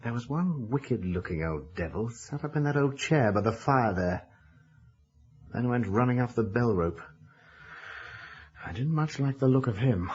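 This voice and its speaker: An actor playing a studious, polite young English gentleman in a radio drama, educated and rational. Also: medium pitch, lively intonation, normal speed, pauses often, slightly rough. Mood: amused. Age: young adult. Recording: dull lo-fi old radio recording.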